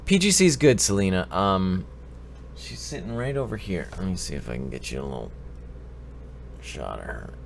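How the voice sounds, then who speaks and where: A man's voice: deep voice, very animated, normal speed, vocal fry, a little hiss or hum. A man with a stuffy cold hosting a casual live stream, rambling and joking as he greets chat viewers by name. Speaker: livestream advice show host.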